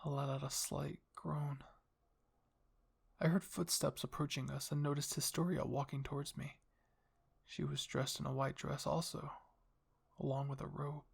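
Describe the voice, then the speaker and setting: A man softly narrating fan fiction in an ASMR style as the channel's host. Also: a little high, gravelly, husky.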